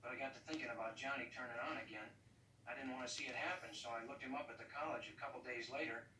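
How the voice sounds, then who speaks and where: A man's voice: mid-range voice, steady tone, quick pace, bright, forceful tone, close to an everyday voice. An actor playing an earnest, defensive male ex-addict on parole in a TV crime drama, talking eagerly and insistently. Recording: old TV audio, echoey, distant, faint noise.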